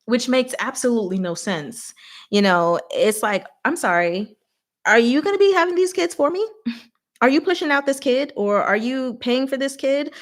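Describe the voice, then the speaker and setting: A woman's voice: high-pitched, moderate pace. A woman hosting a casual livestream, rambling candidly and expressively as she responds to viewers and acts out bits of dialogue. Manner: animated and candid, acts out imagined dialogue, laughs often. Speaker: livestream host. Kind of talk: casual rambling livestream chat, reading and answering viewer comments.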